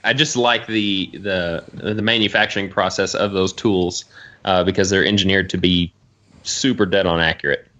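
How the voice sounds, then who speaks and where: Bright-voiced man chatting casually, slightly muffled audio. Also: low voice, fairly even intonation, normal speed. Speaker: podcast co-host. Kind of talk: casual chat.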